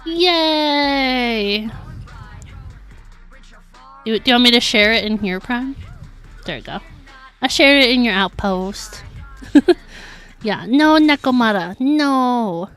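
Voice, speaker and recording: A woman's voice: on the high side, lively intonation, slow, pauses often, fairly bright tone, smooth, soft background music. A woman livestreaming a game, talking casually in a chatty, giggly, informal way. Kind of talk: casual live gaming commentary.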